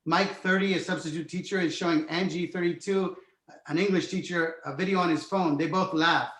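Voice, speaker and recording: Higher-pitched male narrator, echoey room. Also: steady tone, a little fast, a bit bright. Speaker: narrator reading stage directions. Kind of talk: scripted narration, screenplay table read.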